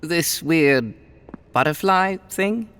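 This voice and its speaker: An actor playing a curious, skeptical seeker in an audio drama, with a wry, casual, questioning manner. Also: male voice, a little high, lively intonation, slow pace, a bit bright, clean tone.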